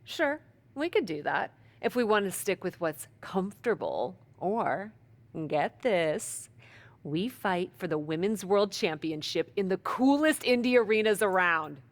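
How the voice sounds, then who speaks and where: A woman's voice: medium pitch, lively intonation, a little slow, no echo. A woman playing a plucky, cocky wrestler in a video game cutscene, speaking in a casual, teasing way. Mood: confident, persuasive.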